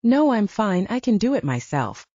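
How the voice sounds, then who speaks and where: A woman's voice: medium pitch, big pitch swings, normal speed, mellow, no echo, dull audio, voice pushed up. A woman voicing a proud, shaken young female army medic in an audiobook, snappy, sweary and frustrated. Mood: snappish.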